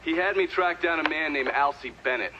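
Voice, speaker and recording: An actor playing a shrewd, sardonic intelligence operative in a video game, dry and matter-of-fact. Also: male voice, on the high side, a little fast, dull audio, noisy background, lightly acted. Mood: matter-of-fact recounting. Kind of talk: cinematic game dialogue, part recounted testimony.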